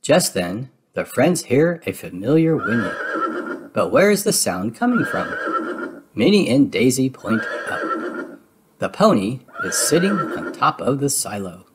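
A man narrates a children's storybook in an expressive read-aloud style. His delivery is lively in melody and takes its time. Clear background noise runs under his voice. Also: medium pitch, unhurried. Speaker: storybook reader.

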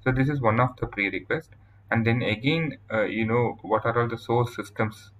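A man with an Indian accent presenting a software tutorial in a halting, explanatory way over slides. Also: mid-range voice, low-quality recording. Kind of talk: informal scripted-outline tutorial narration over slides.

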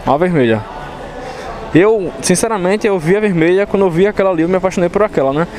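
A young man from Recife with a Pernambuco accent, hyping motorcycles in a slangy, excited vlog from a showroom on an action camera. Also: sits high, fast talker, a little hiss or hum. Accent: Pernambuco Brazilian Portuguese. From Recife, Brazil. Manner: excited, slangy, hype-driven. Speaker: motorcycle vlogger. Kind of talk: casual slangy vlog chat. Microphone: chest-mounted action camera.

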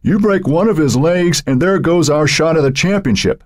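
Male narrator as bossy high-school player in a novel, snappish, scolding, pitched well up, animated. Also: normal speed. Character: irritable teenage football player, sharp and commanding.

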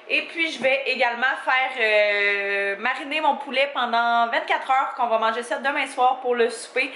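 Young Québécoise woman vlogger, bright-ish voice, casual chat, roomy sound with faint hiss. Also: sits high. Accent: Quebec French. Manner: chatty, relaxed, fillers like "fait que". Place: home kitchen and parked car. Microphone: handheld camera at arm's length.

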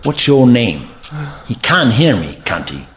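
An actor playing an eccentric, principled, inquisitive time traveller with a brisk, wry manner in an audio drama. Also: male voice, mid-range voice, very animated, fairly bright tone. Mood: polite, curious.

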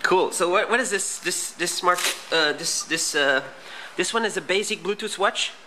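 A male interviewer with a high-pitched, sharp, very bright voice. He asks short, inquisitive questions in a casual way and often repeats them. There is faint workplace noise behind him. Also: moderate pace, rough recording. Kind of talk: casual on-the-spot factory tour questioning. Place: busy small factory and office. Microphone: handheld camera, speaker behind it.

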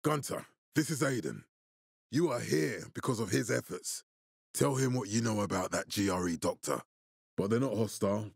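A stern commander in a post-apocalyptic video game. His low voice is husky and gravelly, and he speaks formally, with deliberate authority. The sound is close and dry. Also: lively intonation, dry recording, exaggerated voice. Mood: commanding. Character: stern, commanding male military leader in a post-apocalyptic city. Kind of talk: video game character dialogue.

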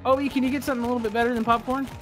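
A man's voice: very high voice, level tone, very fast, over music, rough recording. A man bantering casually with friends while playing a video game. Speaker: gamer.

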